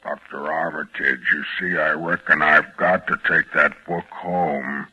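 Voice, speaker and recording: An uncouth, sinister young countryman in a vintage radio horror drama. His voice is very deep and rough with huskiness, and he makes his demands slowly and ominously. The sound is dull, like an old broadcast. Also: steady tone, bright, forceful tone, exaggerated voice. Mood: ominous, threatening. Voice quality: low husky voice. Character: menacing, uncouth, half-human young country man, sinister and insistent. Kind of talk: 1940s radio horror acting.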